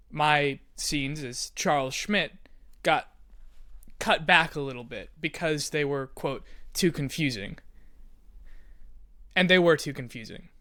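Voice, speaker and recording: A man's voice: fairly high pitch, bright, forceful tone, no room sound, clean studio sound. A man hosting and explaining to listeners in a conversational, playful way with theatrical emphasis. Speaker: host, writer and creator of an audio drama.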